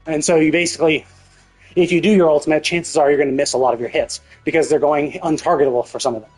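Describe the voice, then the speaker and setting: A man's voice: on the high side, steady tone, fast, a little mellow, faint music behind, poor audio. A man live streaming a game, talking casually in a rambling, opinionated way with some swearing.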